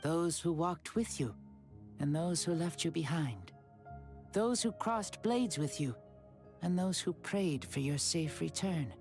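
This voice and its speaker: A woman voicing an ancient hero in a young boy's body, speaking formally and gently in a video game. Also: low voice, expressive, slow, heavily acted. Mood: solemn, gentle. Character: ancient hero reborn as a serene young boy. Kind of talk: anime video game voice acting. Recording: faint music behind.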